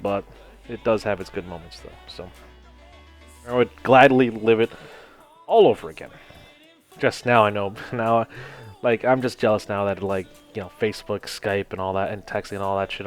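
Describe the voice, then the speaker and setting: A man's voice: on the low side, lively intonation, moderate pace, a bit bright, soft background music. A young man from Hawaii casually telling a personal story over gameplay, rambling with lots of "you know" and "like" and some swearing. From Hawaii, United States. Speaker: gaming channel host.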